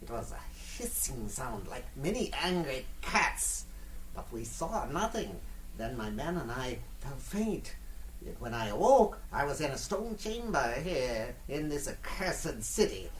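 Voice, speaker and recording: An actor playing an oily, scheming foreign trader villain in an old radio melodrama, speaking in florid, formal, theatrical phrases. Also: male voice, mid-range voice, big pitch swings, a little slow, slight background noise, low-quality recording. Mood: sly, evasive.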